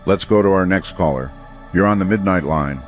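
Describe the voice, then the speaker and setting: A man playing a calm, measured late-night radio call-in host. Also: low voice, dull audio, soft background music, lightly acted.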